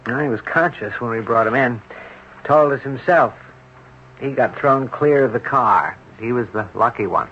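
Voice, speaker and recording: A man's voice: medium pitch, normal speed, natural voice. Guy doing casual hospital doc in old radio mystery, matter of fact, muffled sound with faint noise. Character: brisk, casual male hospital doctor, slangy. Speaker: hospital doctor in a radio drama. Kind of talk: old-time radio drama acting. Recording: muffled, noisy old radio broadcast recording.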